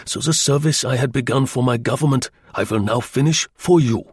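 A man voicing a podgy, aggrieved agent in an audiobook, with an emphatic, slow, pleading delivery. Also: medium pitch, husky, no echo, studio quality, lightly acted. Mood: eager, scheming. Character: podgy, aggrieved, wheedling male German agent.

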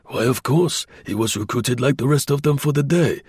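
A man voicing a gruff, boastful male revolutionary official who blusters. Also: medium pitch, normal speed, darkish tone, no room sound, studio quality, pitched above the performer's own voice, close to an everyday voice. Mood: blustering.

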